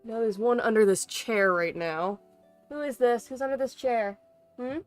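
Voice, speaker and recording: A woman's voice: fairly high pitch, moderate pace, soft background music. A woman livestreamer chatting casually to viewers in a playful, chatty way. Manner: playful, chatty, talks to pets. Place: bedroom at home.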